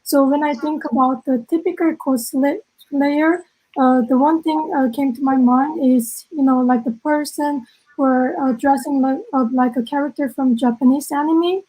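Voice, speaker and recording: A young woman in her twenties from South Korea with a Korean accent, co-hosting an online event over a video call and filling her pauses with "uh.". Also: high-pitched, fairly even intonation, warm tone, low-quality recording. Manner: halting, many fillers. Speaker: event co-host.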